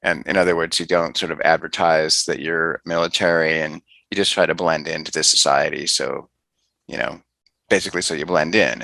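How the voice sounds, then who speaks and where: Gravelly low-voiced man interviewing. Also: sharp tone, no echo. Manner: rambling, chatty questions with restarts. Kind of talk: casual interview.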